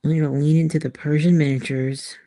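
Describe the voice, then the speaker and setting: A woman's voice: deep voice, fairly even intonation, brisk, warm tone. A woman casually thinking aloud as she works on artwork, musing and hesitant, often trailing off between phrases. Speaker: artist and author, host.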